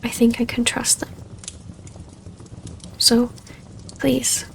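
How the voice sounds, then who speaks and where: High, creaky-voiced woman acting a tender vampire baroness. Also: monotone, in a whisper. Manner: hesitant, halting, tender. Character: wistful, tender vampire baroness reunited with lost lover. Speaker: voice actor in an ASMR roleplay. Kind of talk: ASMR roleplay acting, soft intimate delivery.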